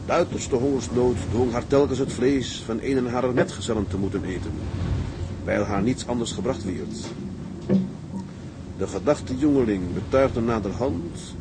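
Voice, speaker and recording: A man's voice: mid-range voice, moderate pace, lightly acted. Man acting a hearty, grumbling seaman, noisy recording. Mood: relishing, amused. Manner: talks to himself, muttering and exclaiming. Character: hearty, grumbling seaman alone aboard at night. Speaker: actor in a radio drama. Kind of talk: Dutch radio play acting with monologue. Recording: noisy, with boat and water sound effects.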